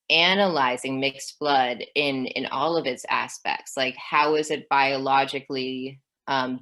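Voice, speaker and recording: A woman historian in her thirties speaks as an interview guest in a low voice. She thinks aloud as she goes, with many ums and small restarts. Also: fairly bright tone. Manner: thoughtful, with many fillers. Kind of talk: academic interview.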